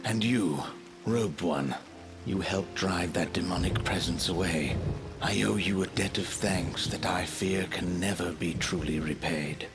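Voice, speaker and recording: A man voicing the sorrowful ghost of a baron in a video game, speaking slowly and formally. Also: deep voice. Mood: grave, weary. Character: remorseful ghost of a male baron. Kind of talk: dramatic video game voice acting. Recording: loud music and rain behind. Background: game music and rain.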